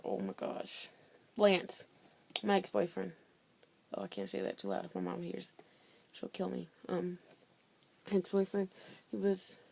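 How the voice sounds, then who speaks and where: Sassy teenage girl with vocal fry. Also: fairly low pitch. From Indiana, USA. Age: teens. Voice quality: loud voice. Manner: blunt, sassy, chatty with slang. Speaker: vlogger. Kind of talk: casual storytelling vlog.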